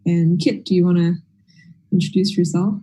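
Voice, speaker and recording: A woman's voice: lowish voice, little pitch movement, normal speed, mellow, rough recording. A woman from Boston who writes musical theater, introducing herself casually on a panel, friendly with fillers. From Boston, USA.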